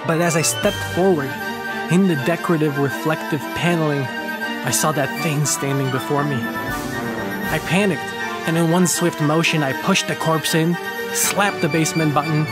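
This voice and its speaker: A man narrating a first-person horror story with measured, slow phrasing, who also hosts the channel. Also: fairly high pitch. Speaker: audio drama creator and narrator.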